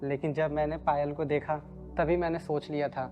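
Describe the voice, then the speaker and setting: A man's voice: a little high, little pitch movement, moderate pace, dark tone, soft background music, close to an everyday voice. A young man playing a devoted, anxious runaway groom in a Hindi moral drama. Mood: anxious devotion.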